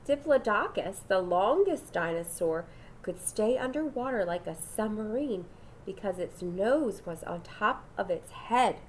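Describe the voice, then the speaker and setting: Storybook big brother, read by a woman: calm, knowing, slow, varied intonation. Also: mid-range voice, a little hiss or hum, close to an everyday voice. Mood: knowing, assured. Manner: matter-of-fact explaining. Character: know-it-all older brother, calm and showing off.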